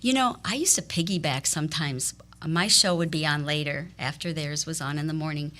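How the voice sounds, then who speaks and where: Woman radio host, lowish voice, animated laughing storyteller. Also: fairly quick. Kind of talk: casual chat, telling stories.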